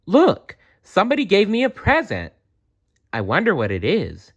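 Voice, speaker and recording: A man voicing an excitable, squeamish little schoolgirl in a children's storybook, given to shrieking. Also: sits high, normal speed, breathy edge, highs cut off, pitched above the performer's own voice, heavily acted. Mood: excited.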